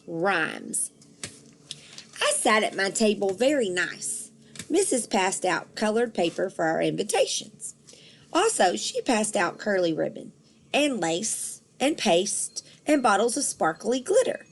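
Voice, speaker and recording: A woman reading a children's book aloud in an expressive, animated storytelling manner for young listeners. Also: mid-range voice, takes it slowly, slight background noise.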